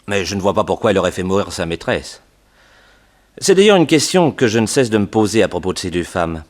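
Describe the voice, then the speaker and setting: An actor playing a measured, courteous doctor in a 1960s radio detective drama. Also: male voice, low voice, very animated. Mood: measured, concerned.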